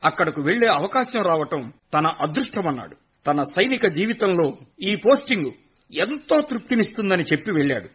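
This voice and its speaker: A man narrating a radio play in a steady storytelling manner. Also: high-pitched, moderate pace, slightly rough.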